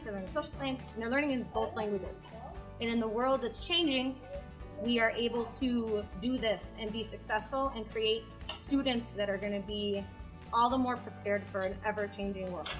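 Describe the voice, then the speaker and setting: An enthusiastic woman teacher speaks in a fairly high voice. Music plays clearly behind her. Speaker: dual language teacher. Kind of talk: interview testimonial.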